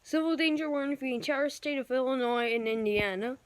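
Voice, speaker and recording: A woman reading out homemade pretend news bulletins and emergency alerts in a halting, improvised way, with searching pauses. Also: high voice, clean tone, dry recording. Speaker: amateur storyteller acting out a mock emergency broadcast.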